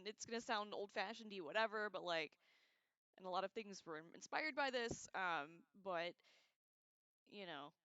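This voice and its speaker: A woman hosting a casual livestream, chatting to viewers in an excitable, giggly way with loose, profane slang. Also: mid-range voice, no room sound. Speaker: livestream host. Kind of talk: casual chat to live viewers.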